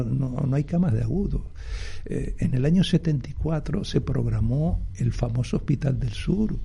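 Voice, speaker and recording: A gravelly, husky older man. He is a doctor talking on a radio interview, and he starts over often and pads his sentences with fillers. His voice sits dark and mellow. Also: medium pitch. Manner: halting, many restarts and fillers. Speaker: doctor and health advocacy guest. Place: radio studio.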